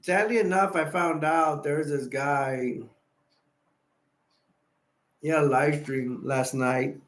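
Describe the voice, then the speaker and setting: A man's voice: on the high side, steady tone, takes it slowly. Sleepy, rambling man in an echoey room. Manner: rambling, sleepy, chatty with viewer shout-outs. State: tired and sleepy. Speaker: live stream host. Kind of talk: casual late-night live stream chat.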